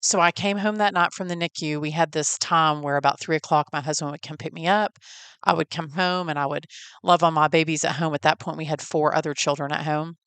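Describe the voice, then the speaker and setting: A woman's voice: low voice, steady tone, fairly quick, fairly bright tone, clean tone, dry recording. A woman in her forties with a Texan accent, hosting a podcast and telling her own story in a friendly, folksy, heartfelt way. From Texas. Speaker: podcast host. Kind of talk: personal storytelling.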